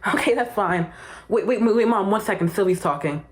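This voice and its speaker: A woman's voice: mid-range voice, a little fast, close to an everyday voice. A woman playing a childlike young patient who stammers and pleads with her mother.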